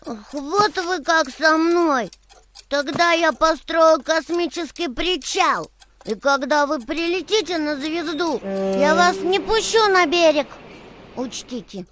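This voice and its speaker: A woman's voice: sits high, expressive, slow pace, a bit bright, slight background noise, exaggerated voice. A woman voicing a bossy, stubborn little boy kitten in a children's cartoon, with a childlike manner. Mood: sulky, stubborn.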